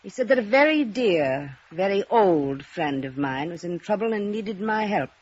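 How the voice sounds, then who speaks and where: A woman's voice: mid-range voice, expressive, normal speed, a little mellow, slightly rough. A woman acting an anxious, refined, mature lady in a 1950s radio melodrama, speaking hesitantly. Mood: frightened. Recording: dull, muffled old radio recording.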